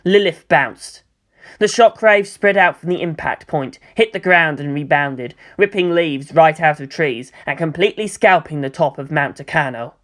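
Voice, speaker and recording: A story narrator reading dramatic action fiction in a measured, expressive way. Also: mid-range voice.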